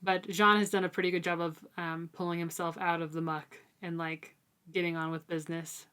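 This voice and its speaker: A woman with a slightly low, clean and resonant voice, hosting a casual book discussion. She speaks informally and thoughtfully, working through her points in a conversational way. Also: level tone, a little fast, sharp tone, clear, resonant tone. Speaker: podcast host.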